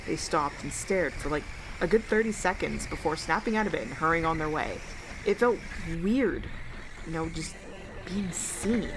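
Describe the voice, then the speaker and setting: A woman's voice: sits low, expressive, moderate pace. A young woman playing a sardonic, blunt homeless survivor of the apocalypse in an audio drama. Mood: bitter, sardonic. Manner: sarcastic, blunt, slangy. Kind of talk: radio drama monologue. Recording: clearly noisy, ambient background noise.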